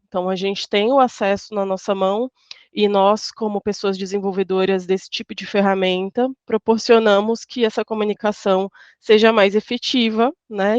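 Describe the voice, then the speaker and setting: A woman's voice: mid-range voice, no room sound, highs cut off. A woman speaking as a panelist over a video call, in a thoughtful way with many restarts and fillers. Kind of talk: panel talk.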